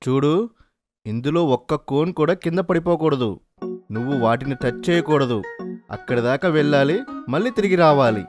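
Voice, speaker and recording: Woman playing firm, encouraging low-voiced cartoon dad. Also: fairly quick, natural voice. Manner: instructing, reassuring, firm. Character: encouraging but firm father in a family cartoon. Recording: music loudly behind the voice.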